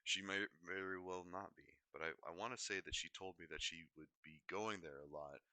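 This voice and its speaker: A man's voice: deep voice, fairly even intonation, a little fast, sharp tone, dry recording. A man livestreaming in a rambling, unscripted way, often hesitating, digressing and correcting himself mid-thought. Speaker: livestream host.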